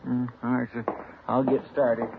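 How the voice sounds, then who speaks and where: Actor playing a folksy, gravelly frontier deputy. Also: male voice, mid-range voice, little pitch movement, moderate pace, slight background noise, lightly acted. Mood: eager. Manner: drawling, rambling, country talk. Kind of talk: 1950s radio drama acting. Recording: tinny old radio broadcast.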